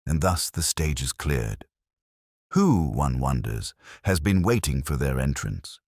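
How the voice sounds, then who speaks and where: A shrewd inspector , read by a male storyteller, speaks in a very low, dry-recorded voice. He is unhurried and understated, turning his thoughts into quietly amused maxims for a mystery story. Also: sing-song, no echo, exaggerated voice. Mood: quietly ominous. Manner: deliberate, aphoristic, understated.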